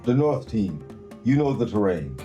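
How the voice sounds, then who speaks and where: A man's voice: lowish voice, big pitch swings, moderate pace, dark tone, lo-fi sound, soft background music. A man reading the part of a calculating militia boss at a table read, terse and probing. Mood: pressing.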